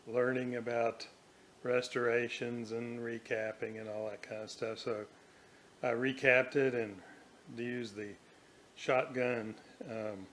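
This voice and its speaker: A man narrating an unscripted workbench vlog as a hobbyist restorer, speaking haltingly in short, hesitant phrases. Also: medium pitch. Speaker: hobbyist radio restorer presenting own video. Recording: clearly audible background noise.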